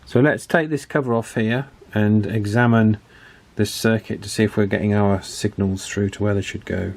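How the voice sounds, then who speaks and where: Man, lowish voice, casual repair narration, thinks aloud, frequent fillers and restarts. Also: fairly even intonation, normal speed, a little mellow. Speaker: electronics repair YouTuber.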